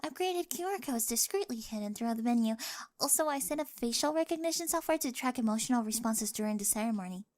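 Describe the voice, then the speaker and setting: A woman's voice: on the high side, lively intonation, fast talker, a little mellow, lightly acted. A woman acting a quirky, analytical young bride in a scripted ASMR roleplay, earnest and loving, with precise scientific phrasing. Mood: eager, excited.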